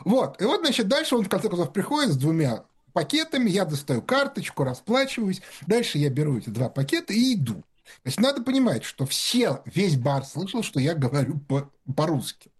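A man's voice: high voice, very animated, fairly quick, breathy edge. A man telling rambling anecdotes in casual talk-show conversation, full of fillers and restarts. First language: Russian.

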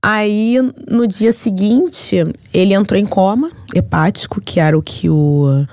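A woman from Brazil with a Brazilian accent, telling a personal story in an emotional, earnest and detailed way, halting at heavy moments. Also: mid-range voice, a little mellow, no room sound, studio quality.

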